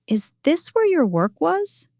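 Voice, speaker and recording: A woman's voice: extremely high pitch, very animated, takes it slowly, creaky, darkish tone, no room sound, studio quality, voice pushed up, exaggerated voice. A woman voicing a keen female performance artist in her twenties in an audio story, inquisitive and direct. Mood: curious.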